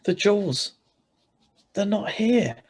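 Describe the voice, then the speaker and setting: A man's voice: sits high, expressive, slow pace, breathy edge, heavily acted. A man playing an ordinary, easily flustered married man who narrates his own story in an old-style radio play, read over a video call. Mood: agitated, insistent. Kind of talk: 1940s radio acting read aloud.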